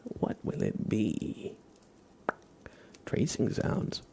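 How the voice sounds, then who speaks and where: A man hosting an ASMR livestream, talking softly and intimately to his chat and often slipping into whispers, close to the microphone. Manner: gentle, drawn-out delivery slipping into whispers.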